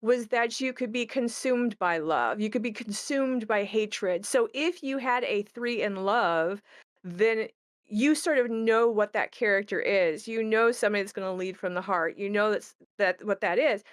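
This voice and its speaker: A woman's voice: mid-range voice, moderate pace, no echo, studio quality. A woman who creates games, talking casually and thinking aloud as she explains design, often rephrasing as she goes. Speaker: game creator in conversation. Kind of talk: casual discussion of game design.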